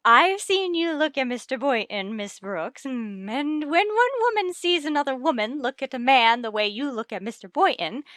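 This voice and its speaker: An actress playing a chatty, gushing, meddling matchmaker wife in a vintage radio sitcom. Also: female voice, high voice, expressive, normal speed, hardly any pauses, a bit bright, no echo, studio quality. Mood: scheming, eager. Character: chatty, meddling married matron, eager matchmaker.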